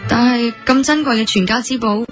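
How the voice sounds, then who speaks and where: A woman's voice: a little high, brisk, natural voice. Actress as prickly young café woman, Hong Kong Cantonese, earnest, introspective radio drama narration, music underneath. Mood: hesitant. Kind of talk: radio drama acting with narrated inner monologue.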